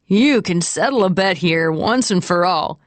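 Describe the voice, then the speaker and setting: A woman's voice: on the low side, expressive, unhurried, no echo. A woman voicing a boisterous young man, a teasing buddy, in an audiobook.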